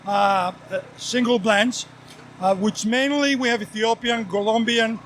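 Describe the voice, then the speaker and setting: A man from Greece with a Greek accent, a coffee vendor giving an earnest sales pitch outdoors at a race event. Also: measured pace, fairly bright tone, a little hiss or hum.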